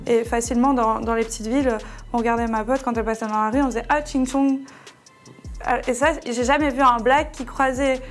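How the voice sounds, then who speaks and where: A woman's voice: fairly high pitch, fairly even intonation, brisk, soft background music. A woman in her early twenties talking frankly and informally in slangy in a casual group discussion. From Belgium, small town. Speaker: student panelist in a discussion.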